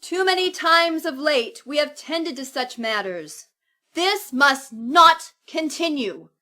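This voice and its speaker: A woman's voice: high-pitched, lively intonation, slow, pushed and bright, full character voice. A woman playing a stern cleric who preaches solemnly and declaims to an obedient congregation in an audio drama. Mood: fervent, foreboding.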